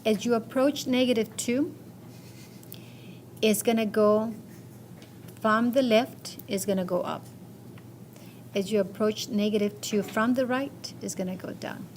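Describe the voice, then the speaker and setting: A woman's voice: on the high side, steady tone, unhurried, long pauses, a little mellow. A woman teaching a math class, going over quiz answers step by step and checking with short questions. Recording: noisy classroom recording.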